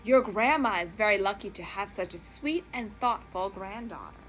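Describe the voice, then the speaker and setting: A sneaky storybook wolf, played by a woman, growling and drawing out his words with false sweetness. He is greedy and sly, with a bright, forceful edge over background music. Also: lively intonation, normal speed, close to an everyday voice, low-quality recording. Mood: sly, oily. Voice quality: deep, growly. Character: sneaky, greedy big bad wolf, male.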